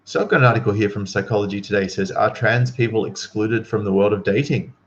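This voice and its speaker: A man's voice: fairly low pitch, fast talker, dull audio. A man giving casual, opinionated commentary to livestream viewers in an incredulous, emphatic, slangy manner.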